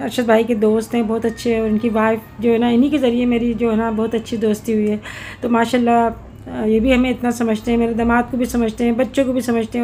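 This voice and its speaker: A middle-aged woman talks through her home vlog in an earnest, reflective tone, often stopping to pause and gather her words. Her voice sits a touch high, and a faint noise runs underneath. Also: a little mellow. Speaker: cooking vlogger. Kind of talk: casual vlog voice-over.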